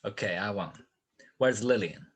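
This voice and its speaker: An actor playing a tough, sardonic private detective and narrator in 1940s radio style. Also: male voice, fairly low pitch, unhurried, husky. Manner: sardonic, wisecracking.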